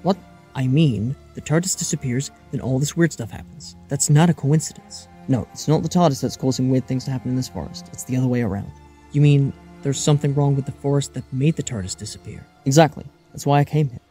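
A man's voice: mid-range voice, a little fast, mellow, faint music behind, close to an everyday voice. A man performing earnest, alarmed adventurers in a fan-made audio drama, with dramatic, emotive delivery. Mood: puzzled, serious. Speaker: voice actor performing all parts.

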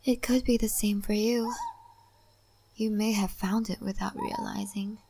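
Slow, whispering wise spirit-forest guide played by a woman. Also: mid-range voice, steady tone, background noise, lightly acted. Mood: calm, reflective. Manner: slow, gentle, pausing, often breathy whisper. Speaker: ASMR roleplay performer. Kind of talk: soft-spoken, half-whispered ASMR roleplay.

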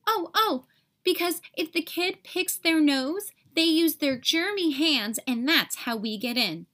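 Woman voicing an excitable, high-pitched little germ. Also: measured pace. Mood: eager. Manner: exclamatory, melodramatic. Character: excitable, panicky cartoon germ, easily alarmed.

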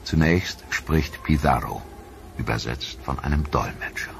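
A man narrating a history documentary in a measured, solemn, scripted style. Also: rumbling low, vocal fry, slight background noise.

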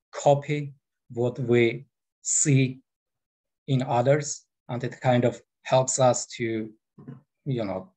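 A man in his forties with an Armenian accent talks through an online presentation in a very slow, halting way. His pitch sits in the middle range, and his speech is broken up by frequent fillers. The sound comes close and direct from a headset microphone in a small indoor room. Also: dark tone, rough recording. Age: 40s. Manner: unhurried, halting, many fillers. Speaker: guest presenter.

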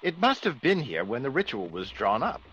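An actor playing a genteel, easygoing English gentleman with polite, old-fashioned exclamations, in a classic radio drama. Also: mid-range voice, huge pitch swings, normal speed, a little hiss or hum. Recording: dull old radio recording.

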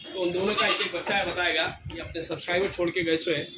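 A man's voice: high-pitched, fairly even intonation, quick pace. A man eagerly showing off goats for sale in outdoor farm pens. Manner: eager, points out the animals' traits. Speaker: goat farm seller. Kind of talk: casual sales talk. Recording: noisy outdoor farm recording.

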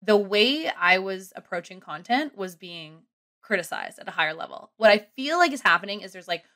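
A woman in her thirties telling a long story casually on a podcast from a small home room, animated and rambling. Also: mid-range voice, moderate pace. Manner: animated, rambling, self-deprecating. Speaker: guest comedian and ski content creator. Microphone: studio mic close up with headphones.

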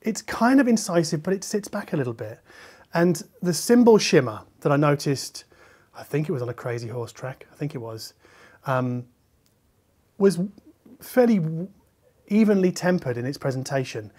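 A middle-aged man, a reviewer talking conversationally to camera in a measured, explanatory way, qualifying his points as he goes. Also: on the high side, darkish tone. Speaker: hi-fi reviewer and video host. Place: medium-sized living room listening space, indoors.

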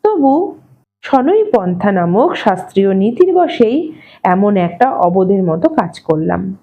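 A woman narrating a literary short story expressively, in a measured, wry manner, as an audio story reader. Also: medium pitch, very animated. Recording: slightly dull, muffled audio.

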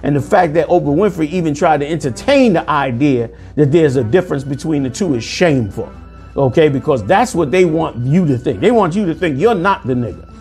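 A forceful, sermonizing man in his forties delivers commentary from a room on a lapel mic. His voice sits a little high for a man and keeps pressing its points. Soft music plays faintly underneath. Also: expressive, a little fast. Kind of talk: preaching commentary over news clips. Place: indoor room.

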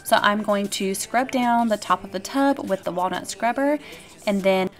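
A woman's voice: medium pitch, normal speed. A woman in her thirties giving a cheerful, chatty voice-over for her cleaning vlog and talking straight to her viewers. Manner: upbeat and chatty, addressing viewers directly. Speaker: cleaning vlogger. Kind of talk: casual voice-over narration.

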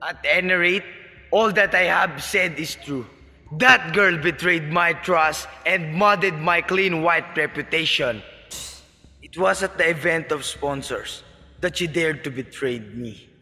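In a reverberant hall, a man acts out an arrogant middle-aged tycoon father for a student radio drama. His voice is raised in pitch and forceful, pompous and dripping with contempt. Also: unhurried, exaggerated voice. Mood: bitter. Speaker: voice actor.

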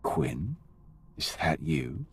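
An actor playing an arrogant, cold Sith warrior commander in a video game, with an imperious, threatening delivery. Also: male voice, big pitch swings, extremely slow, a bit bright, heavily acted. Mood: sardonic, commanding. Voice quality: deep, gravelly. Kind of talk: video game cutscene acting.

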